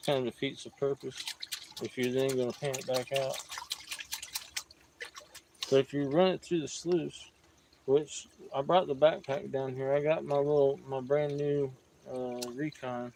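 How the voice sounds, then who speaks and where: A man in his fifties, hosting a prospecting livestream outdoors and chatting in a relaxed, rambling way. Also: medium pitch, measured pace, vocal fry, pauses often, slight background noise, low-quality recording. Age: 50s. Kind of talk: casual outdoor chat. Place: outdoors by a creek.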